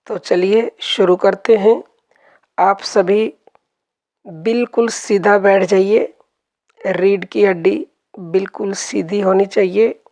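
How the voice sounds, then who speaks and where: A woman leading a guided meditation, giving calm, gentle instructions and softly repeating her phrases. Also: medium pitch.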